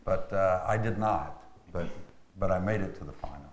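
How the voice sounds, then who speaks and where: Low-voiced man in a noisy, echoey room. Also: moderate pace. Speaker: actor, interview guest. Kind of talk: casual interview chat. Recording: echoey room with clear background noise.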